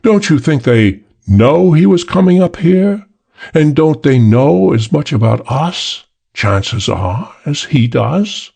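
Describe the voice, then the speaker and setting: A man's voice: a little high, big pitch swings, slow pace, creaky, a little mellow, breathy edge, voice pushed up, full character voice. A man voicing a cold, superior male crook who drawls in a British accent. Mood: cold, drawling.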